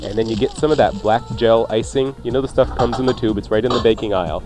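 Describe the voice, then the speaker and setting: A man's voice: mid-range voice, fast talker, darkish tone. A man hosting a radio cooking show, talking through recipes in a casual, conversational way with jokey asides. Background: faint music.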